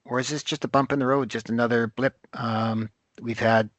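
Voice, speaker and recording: A man hosting an interview podcast, speaking haltingly with many pauses and fillers. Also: mid-range voice, steady tone, fairly bright tone, no echo. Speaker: host.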